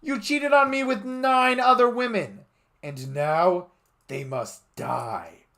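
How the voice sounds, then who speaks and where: A shrill, scorned young woman bent on revenge , as a male reader puts her on. The voice sits high and loud, then slows to a menacing crawl. It is played broadly for laughs in a comic story reading. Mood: vengeful. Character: vengeful jilted young woman with a knife.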